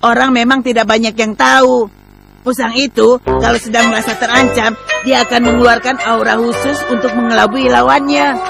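Someone doing a gravelly little robot cat, patient explainer, kids cartoon dub, music behind. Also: mid-range voice, level tone, moderate pace, close to an everyday voice. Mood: explaining, teasing. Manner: explaining, matter-of-fact. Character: round blue robot cat, patient explainer, childlike friend. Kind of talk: dubbed children's cartoon acting.

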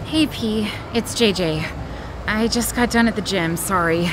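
A woman's voice: medium pitch, measured pace, background noise, natural voice. An actress playing a wry, anxious professional woman near forty in an audio drama. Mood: subdued, apologetic.

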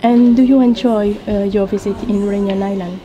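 A woman interviewing students in English, asking halting questions with fillers. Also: mid-range voice, steady tone, dull audio, background noise.

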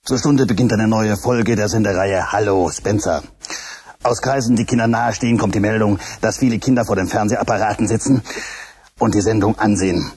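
A stiff, solemn mock newsreader, put on by a man in an old children's radio play. He reads in a deep, formal announcer tone. His voice has a gravelly grain. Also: fairly quick, a little mellow. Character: pompous male newsreader voice put on by a puppet.

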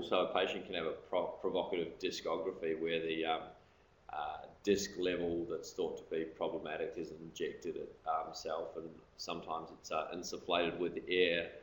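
Male registrar, very low voice, halting lecture delivery, roomy recording. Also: a bit bright. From Australia. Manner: hesitant, many ums and uhs, trailing off at phrase ends. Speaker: orthopaedic registrar presenting. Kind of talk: recorded medical lecture over slides. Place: hospital lecture room. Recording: echoey room, faint background hiss.

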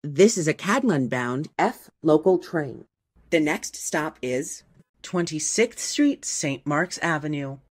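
A woman reading scripted train announcements in a measured, formal, segmented way. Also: sits low, expressive, slightly rough. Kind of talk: scripted transit announcement, spliced clips.

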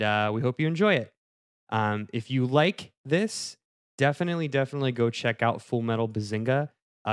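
A man's voice: lowish voice, smooth, dry recording, studio quality. A man hosting a podcast, introducing the show in a casual, conversational style. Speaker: podcast host.

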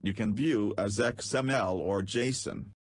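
A man's voice: on the low side, takes it slowly, no room sound. A man narrating a screen-recorded programming tutorial in short, step-by-step instructions with non-native phrasing.

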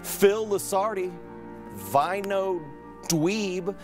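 A man's voice: high voice, very slow, far from the mic, over music. A man in his thirties co-hosting a comedy segment in a studio, reading out social posts in a jokey, mock-dramatic way. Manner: theatrical, jokey. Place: television studio set.

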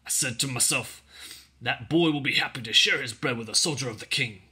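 A man's voice: mid-range voice, fairly quick, bright, forceful tone, breathy edge, exaggerated voice. A man voicing a fat, greedy, bullying British soldier in a children's storybook, with grunting, snarling, rustic old-fashioned speech. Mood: sly, greedy.

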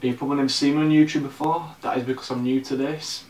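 An eighteen-year-old man with a Northern English accent from Leeds, talking casually and haltingly to camera as a fashion vlogger. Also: mid-range voice, little pitch movement, darkish tone. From Leeds, northern England. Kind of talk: casual product review to camera. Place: small bare room indoors. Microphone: camera mic at a distance. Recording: echoey room, distant mic, background hiss.

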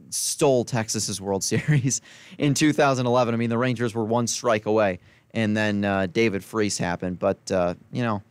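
A young man hosts a college radio sports podcast, reading off game times and scores in a loose, chatty way and breaking off for quick asides. His voice is smooth and resonant. The close studio mic sounds dry and tight. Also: mid-range voice, no room sound. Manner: casual, chatty, self-correcting with asides. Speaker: podcast host. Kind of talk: solo sports podcast rundown.

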